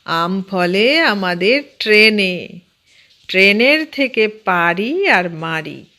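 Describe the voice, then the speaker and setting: Woman reading as an excitable, unhurried storybook uncle. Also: sits high, heavily acted. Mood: boastful, relishing. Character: excitable uncle, railway man, boastful and easily flustered.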